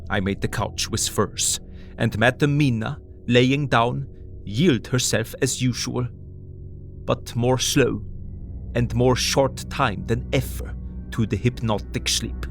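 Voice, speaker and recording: An actor playing an elderly, earnest, grave foreign professor who narrates his diary in deliberate broken English for an audio drama. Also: medium pitch, gravelly, faint music behind. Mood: earnest, wondering.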